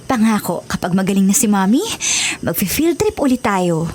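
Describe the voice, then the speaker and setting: A woman's voice: mid-range voice, big pitch swings, normal speed, darkish tone, slight background noise, natural voice. A woman playing a tender, ailing Filipino mother who pleads and soothes in a television drama. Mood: tender, soothing.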